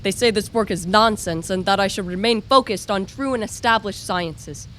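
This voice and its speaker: A woman's voice: medium pitch, normal speed, a bit bright, a little hiss or hum, natural voice. A woman playing an obsessive, ambitious young male science student, narrating earnestly and formally in a radio play. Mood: urgent, exultant.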